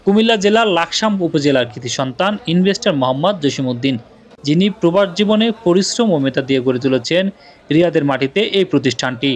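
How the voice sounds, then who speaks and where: A man's voice: on the high side, expressive, quick pace. A man with a Bangladeshi accent reading a scripted news report as a TV correspondent.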